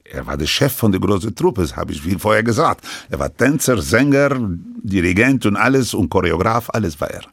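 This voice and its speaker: Older man with a deep gravelly voice. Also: big pitch swings, dry recording, studio quality. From Lebanon. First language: French. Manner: halting, with many restarts and broken grammar. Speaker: interviewee. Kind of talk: recounting his life story.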